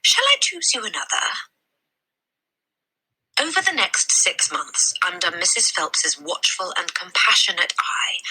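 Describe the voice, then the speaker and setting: A woman reading a children's story aloud as a teacher, with deliberate, expressive narration. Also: deep voice, husky, rough recording.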